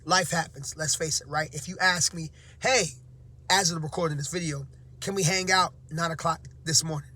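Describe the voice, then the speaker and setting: Man, teacher vlogger, slightly hoarse, sleepy, fairly high voice, talky with fillers. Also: steady tone, moderate pace, bright, forceful tone. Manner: conversational, many fillers and "right?" tags. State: slightly hoarse, half-awake. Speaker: teacher and vlog host. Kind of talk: casual motivational talk to camera.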